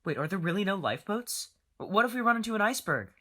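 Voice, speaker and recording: A woman's voice: medium pitch, very animated, fast talker, pushed and bright. A woman in an amateur audio drama playing a skeptical young passenger with doubting, teasing questions.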